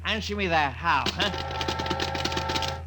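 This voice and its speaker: An actor playing a tipsy, over-friendly barfly in a radio drama, pleading and repeating himself drunkenly. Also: male voice, fairly high pitch, animated, extremely slow, over music. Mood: befuddled, eager. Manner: drunken, repetitive, wheedling. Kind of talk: 1950s radio drama acting. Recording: noisy vintage 1950 radio broadcast.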